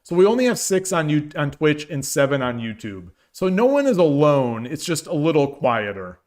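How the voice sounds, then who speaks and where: A man chatting casually on a shogi live stream, reading viewers' messages aloud, his voice getting dry and hoarse from long talking. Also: a little high, big pitch swings, normal speed. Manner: rambling, many restarts, reads chat aloud.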